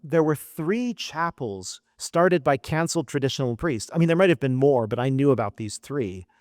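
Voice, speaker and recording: A middle-aged American man giving a formal keynote speech at a gala, with an earnest, emphatic delivery and measured pauses. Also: mid-range voice, a little mellow, dry recording, studio quality. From United States. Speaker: keynote speaker. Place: event hall.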